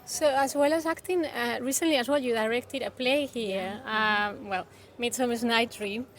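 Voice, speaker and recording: Woman publisher as co-interviewer, high bright voice, chatty and friendly. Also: normal speed. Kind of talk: casual interview. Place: outdoors outside a bookshop.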